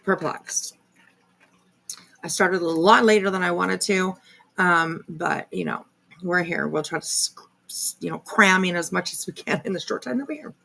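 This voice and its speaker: A woman's voice: lowish voice, normal speed, gravelly, bright, forceful tone. A woman giving casual live commentary as a stream host, rambling and sardonic, with a confrontational edge. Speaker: livestream host reacting to another creator's video.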